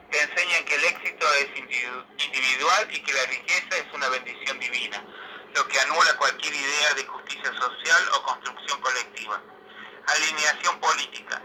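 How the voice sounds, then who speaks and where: A man's voice: medium pitch, pushed and bright, a little hiss or hum, rough recording. A man reads a political manifesto aloud in a declamatory, emphatic way, as a commentator would.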